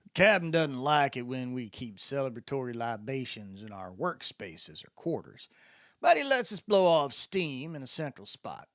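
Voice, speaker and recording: A man's voice: medium pitch, big pitch swings, normal speed, a bit bright, no echo, studio quality. A man who is an author reading aloud in a dramatic, scripted storytelling style. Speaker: author and reader. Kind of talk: dramatic scripted audiobook narration with spoken introduction.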